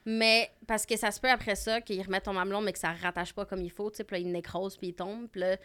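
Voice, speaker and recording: A woman in her thirties with a Quebec French accent, Arabic being her first language. She tells frank, animated stories in a casual podcast chat, her voice bright and clear at a middle pitch. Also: fast talker. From Morocco. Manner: candid, animated storytelling with frequent "comme" and laughter. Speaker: podcast guest.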